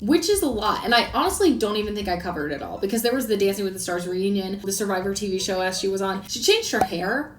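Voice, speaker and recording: A woman's voice: medium pitch, reverberant. A young woman, a little sick, chatting through pop culture gossip for her vlog with giggles and rambling asides. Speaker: commentary youtuber.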